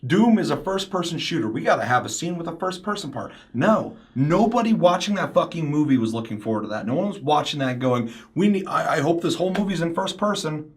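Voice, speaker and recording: A man's voice: fairly high pitch, sing-song, a little fast. Emphatic, opinionated man ranting casually. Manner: mimics other people in quoted asides. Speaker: podcast host. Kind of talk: casual opinionated panel discussion.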